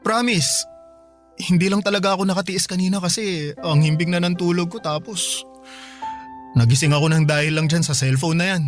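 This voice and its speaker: A man's voice: sits high, a little fast, pauses often, lightly acted. A man playing an anxious live-in boyfriend in a radio drama, suspicious yet apologetic, with a hesitant, pleading manner. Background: music bed.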